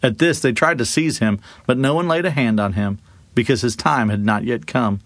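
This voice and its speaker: Man, medium pitch, expressive Bible narration, very dry recording. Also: normal speed, no room sound. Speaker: scripture reader. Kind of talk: Bible reading, narration with dramatized dialogue.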